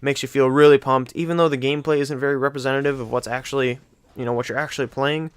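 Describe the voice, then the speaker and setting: A man gives casual, enthusiastic gaming commentary. His voice is mid-pitched and very clear. The recording is dry, with no room sound. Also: fairly even intonation, quick pace, no echo. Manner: informal, enthusiastic. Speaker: gaming video host.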